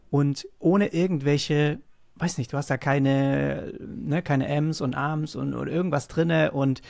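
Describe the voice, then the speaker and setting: A man's voice: mid-range voice, vocal fry, no room sound, clean studio sound. A man hosting a casual chat, talking enthusiastically with many fillers and restarts. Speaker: host.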